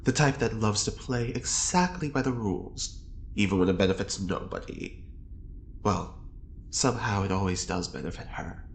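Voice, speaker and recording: A smug, theatrical prisoner in an audio drama, teasing and grand in every phrase. The voice is low and creaky, with lively swings of intonation, over faint background noise. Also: moderate pace, full character voice. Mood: scornful, teasing. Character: theatrical, smug, flirty long-term prisoner, chess cheat.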